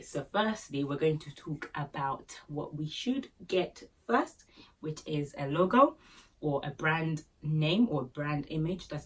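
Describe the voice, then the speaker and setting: A woman's voice: low voice, breathy edge, far from the mic, low-quality recording. A woman from Nigeria with a Nigerian Yoruba accent, chatting casually to camera and giving business tips with joking asides and fillers. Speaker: small business owner and vlogger.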